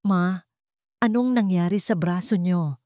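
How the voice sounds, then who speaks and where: A woman with a Filipino accent voicing a gentle, worried adult son in a narrated drama story. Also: fairly low pitch, a little slow, no room sound, clean studio sound, natural voice. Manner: gentle, respectful. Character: worried, devoted adult son of a rich family.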